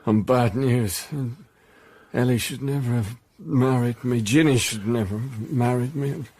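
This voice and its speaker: An actor plays a middle-aged man, a shocked widower in a radio drama. His voice is husky and mid-pitched. He sounds broken and steeped in self-pity. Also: darkish tone, close to an everyday voice. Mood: despairing. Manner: slow, broken, maudlin.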